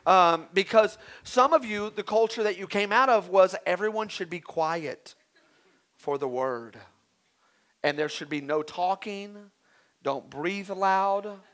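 A male pastor introducing a guest preacher, energetic and rallying the congregation. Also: high voice, slow pace. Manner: energetic, hypes the crowd.

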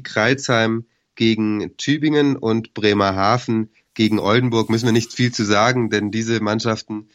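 A man with a cold, hoarse, hosting a casual sports podcast and hesitating with many filler sounds. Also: fairly low pitch, lo-fi sound. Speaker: podcast co-host.

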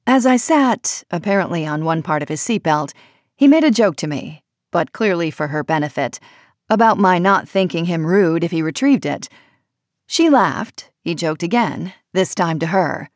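A woman reading a personal essay as audiobook narration, in measured, expressive phrasing. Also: on the low side, no room sound, studio quality.

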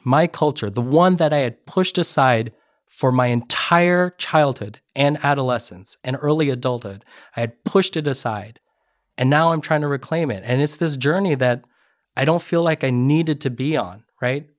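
A man's voice: mid-range voice, moderate pace, dry recording, clean studio sound. Earnest man telling his story. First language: Korean. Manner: earnest storytelling with frequent restarts. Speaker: podcast guest, education advisor. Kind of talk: conversational interview.

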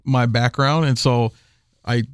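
A man's voice: medium pitch, fairly even intonation, slow pace, smooth, no echo. Rambling man in a dry room. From Houston area. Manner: rambling, full of fillers and restarts. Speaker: podcast guest. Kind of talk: casual conversation.

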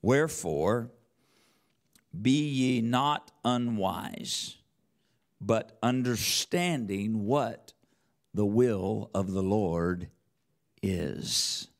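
A man's voice: lowish voice, animated, drawn-out words, long pauses. A man preaching a sermon as a church elder, speaking emphatically with repeated phrases and rhetorical questions. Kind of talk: sermon with scripture quotation.

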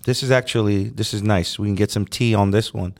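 A man co-hosting a podcast, giving relaxed advice in casual chat. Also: on the low side, a little fast. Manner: laid-back, advice-giving, "you feel me". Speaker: podcast co-host.

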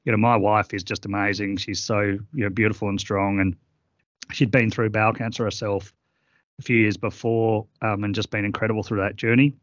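Deep, flat-voiced middle-aged man. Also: no room sound, lo-fi sound. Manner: earnest, halting with many fillers. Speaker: author and interview guest. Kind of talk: reflective interview conversation.

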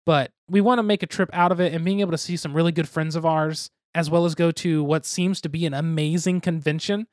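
High-voiced man rambling on a podcast. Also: steady tone, fairly quick, hardly any pauses, a little mellow, no room sound, studio quality. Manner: rambling, self-deprecating, jokey. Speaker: podcast co-host. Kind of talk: casual podcast chat.

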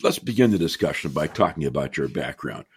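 A man's voice: extremely low pitch, lively intonation, brisk, husky. An older man hosting an interview podcast, reading a formal introduction and then asking questions in a chatty way, often repeating words. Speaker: podcast host.

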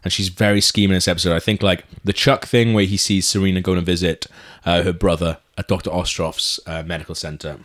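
Chatty sweary man with a lowish voice. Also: fast. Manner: chatty, sweary, laughs often, animated retellings. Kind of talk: casual chat reviewing a TV episode.

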